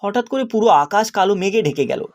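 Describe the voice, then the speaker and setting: A man giving scripted narration for an animated children's story. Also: high voice, fast.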